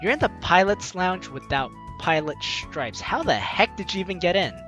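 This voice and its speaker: Male let's player, slightly high and lively, expressive visual novel narration with playful asides, light music behind. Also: moderate pace, highs cut off. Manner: expressive, acts out reactions. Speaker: let's play host. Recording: music under the voice. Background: game music.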